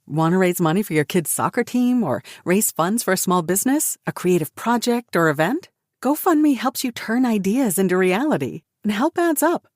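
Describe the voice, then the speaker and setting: A woman's voice: on the low side, darkish tone, dry recording, studio quality. A woman narrating an advertisement in a scripted, inspirational style.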